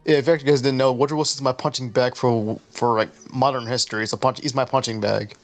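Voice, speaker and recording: A man's voice: medium pitch, steady tone, fast, faint music behind. A man talking casually with others on an online group call. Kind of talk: casual chat.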